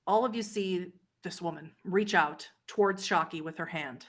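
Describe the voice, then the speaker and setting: A woman's voice: lowish voice, normal speed. A woman acting as game master, narrating a tabletop roleplaying game, explaining rules and describing scenes to players.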